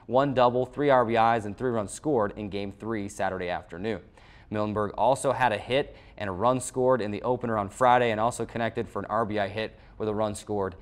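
A man in his twenties with an American accent, hosting a sports show and reading a scripted recap of results. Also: medium pitch. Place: small TV studio at a desk.

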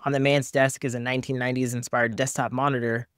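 A man's voice: medium pitch, flat delivery, fast talker, clean tone, no room sound. A man in his thirties giving a casual screen-share review, conversational and candid, speaking directly to viewers into a close desk microphone. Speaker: host, tech reviewer. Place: small home office or studio, indoors. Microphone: desktop microphone close in front.

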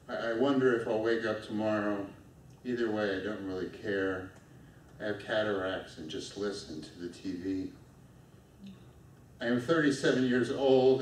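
A middle-aged man reading his own poem aloud at a lectern on a small dark stage, in a steady, measured way. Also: fairly low pitch. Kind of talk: poetry reading. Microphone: stand mic at a lectern, filmed from far off. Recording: echoey room from far off, faint noise.

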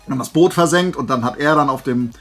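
A man with a husky, worn voice talks casually as a vlog host. He tells anecdotes in colloquial speech and slips into quoted dialogue. His throat sounds dry and tired from talking, at an ordinary male pitch. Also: fast talker, fairly bright tone, soft background music. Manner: colloquial storyteller, acting out dialogue. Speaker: host of a flea market haul video.

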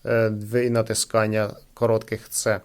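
A man's voice: on the low side, monotone, moderate pace, a little mellow. A man giving casual, conversational unboxing commentary as a host, hesitating and leaning on filler phrases. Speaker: product unboxing host and reviewer.

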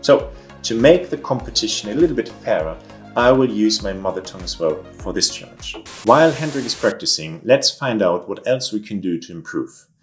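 A man's voice: on the low side, lively intonation, moderate pace, soft background music. A young man whose first language is German, talking through a casual vlog in an earnest way with dry ironic asides, recorded at his desk in a home office. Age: young adult. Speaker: vlogger and social auditor. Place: home office room, indoors.

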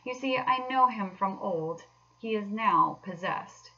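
Storybook Water Rat, woman narrator performing: forceful, firm, gruffly practical, fed up. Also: mid-range voice, animated, highs cut off, full character voice. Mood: firm, resigned. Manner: brisk, firm, no-nonsense.

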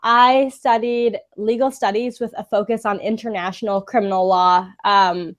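A young woman from the Bay Area speaks from a sofa over a webcam call, upbeat and quick to laugh. She talks casually at a middle pitch, with plenty of ums and uhs. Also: fairly even intonation. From San Francisco Bay Area, USA. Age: 20s. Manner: upbeat, laughs often, many fillers. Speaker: interview guest, pageant delegate. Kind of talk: casual live video interview. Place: indoor room, sitting on a sofa. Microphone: webcam video call.

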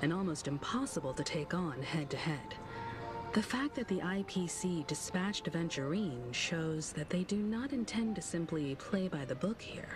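Low voiced game woman, voiced by an actress, calm strategist, careful and thoughtful, music behind. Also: natural voice. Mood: analytical. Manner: deliberate, articulate, thoughtful. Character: composed, analytical adult woman, cautious strategist. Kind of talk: video game voice acting, measured dialogue. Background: game background music.

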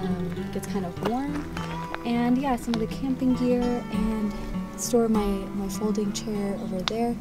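A woman chatting casually about her camping setup at a campsite, relaxed and upbeat with a few fillers. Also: fairly high pitch, a little slow. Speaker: camping companion. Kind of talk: casual chat. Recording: background music.

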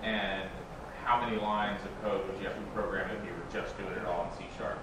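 A man's voice: low voice, fairly even intonation, fairly quick, noisy background. A man casually asking questions from the audience in a meeting room, heard from a distance. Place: indoor hackerspace meeting room. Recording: very echoey room, far from mic, music.

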